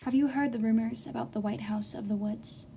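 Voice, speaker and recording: Woman as a high-voiced investigative reporter. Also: steady tone, mellow, slight background noise, lightly acted. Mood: probing. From Northern Virginia. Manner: measured, probing. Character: probing female investigative podcast reporter, serious, composed. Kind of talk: scripted investigative podcast narration. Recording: music behind the voice.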